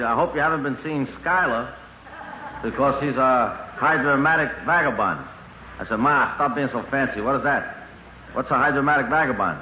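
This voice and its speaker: A man's voice: medium pitch, sharp tone. A man with a Brooklyn accent doing a rambling, colloquial 1950s comedy monologue as a stand-up storyteller. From Brooklyn, New York, USA. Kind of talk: 1950s comic monologue to a live audience. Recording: roomy, muffled, noisy old radio recording.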